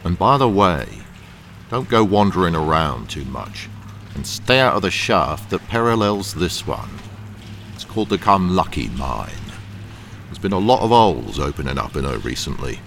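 A menacing criminal boss acted by a man in a radio thriller, with a deep voice that swoops in pitch. He gives orders coldly, over faint mine ambience. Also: big pitch swings, normal speed, a bit bright, slight background noise, full character voice. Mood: commanding. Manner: smoothly threatening, commanding.

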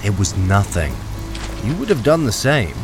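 A man's voice: on the low side, very animated, normal speed, music in the background. A man playing a wary, hard-up army deserter who is the hero of a radio thriller. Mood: probing. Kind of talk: radio thriller narration and dialogue. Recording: noisy, rain-soaked radio drama recording.

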